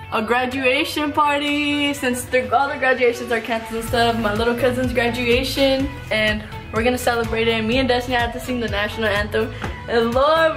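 A young woman hosting a casual vlog from a family party, excitable and joking, filmed on a handheld camera. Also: on the high side. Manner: excitable, joking, laughs a lot. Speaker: vlog host.

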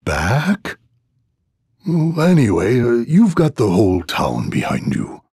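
An actor playing a kindly, fatherly middle-aged innkeeper in a video game, gentle and folksy in manner. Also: mid-range voice, wildly swooping pitch, slow, slightly rough, no echo, clean studio sound, full character voice. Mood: puzzled, evasive.

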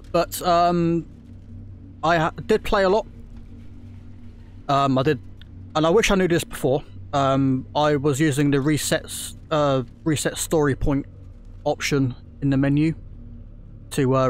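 A man giving casual let's-play commentary, rambling with lots of ums and restarts, recovering from a throat infection. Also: mid-range voice. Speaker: gaming YouTuber.

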